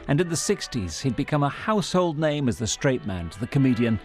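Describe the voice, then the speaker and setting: A man's voice: mid-range voice, sing-song, fairly quick, over loud music. Man narrating a report, very varied intonation. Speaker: media correspondent. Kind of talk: scripted news obituary narration.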